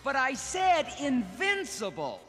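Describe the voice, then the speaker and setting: A man's voice: extremely high pitch, huge pitch swings, slow, pushed and bright, full character voice. An actor playing an earnest young male ghost-hunting hero in a cartoon, urgent and heroic. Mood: urgent, determined. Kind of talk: 1980s cartoon acting. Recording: reverberant, music behind.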